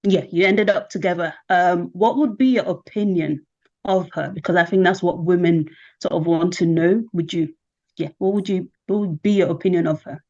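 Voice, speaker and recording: Woman, host, casual interview, prompting questions, lower voice, muffled lo-fi sound. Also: moderate pace, mellow. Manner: prompting, asks with "right?" and "okay?".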